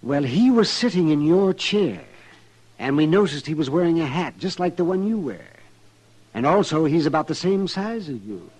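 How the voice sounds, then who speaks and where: Raspy, animated frontier doctor. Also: male voice, fairly high pitch, moderate pace. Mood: urgent. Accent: American. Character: blunt, excitable older frontier town doctor. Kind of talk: old-time radio western acting. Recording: vintage radio drama recording.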